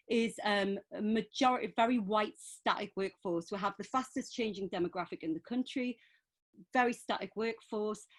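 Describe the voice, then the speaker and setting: A woman from North East England with a Geordie accent, giving a brisk, animated talk as a panelist over a video call. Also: medium pitch. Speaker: panelist from a women's centre.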